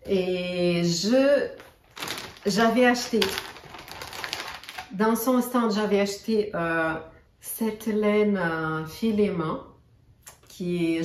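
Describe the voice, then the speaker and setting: Native Portuguese woman, knitting vlogger, hesitant casual chat, faint background noise. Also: mid-range voice. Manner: chatty, many hesitations and restarts. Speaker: knitting podcaster. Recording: echoey room, mic at a distance.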